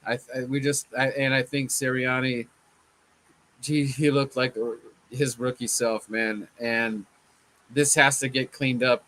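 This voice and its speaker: A man hosting a casual sports fan chat, his voice a little hoarse from chanting, laid-back and often saying "man". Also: medium pitch, flat delivery, measured pace, sharp tone. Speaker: fan channel host.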